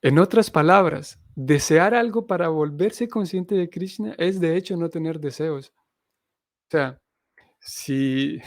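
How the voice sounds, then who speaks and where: Male spiritual lecturer. Fry-laden voice, close dry sound, thoughtful explanatory delivery. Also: a little high, a little mellow, no echo. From Honduras. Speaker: Hare Krishna missionary teacher. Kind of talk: spiritual lecture, reading scripture aloud and explaining it.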